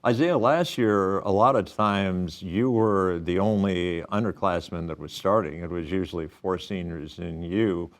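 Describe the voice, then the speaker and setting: A man's voice: low voice, measured pace, few pauses. A man hosting a studio sports interview show, chatty and self-deprecating, often restarting his questions.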